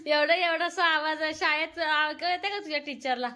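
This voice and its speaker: A woman's voice: high voice, bright, forceful tone. A woman with a rural Maharashtrian accent narrating a casual home vlog, chatting and giving instructions to children and family. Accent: rural Maharashtrian Marathi. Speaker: family vlogger. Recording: clear background noise, home recording.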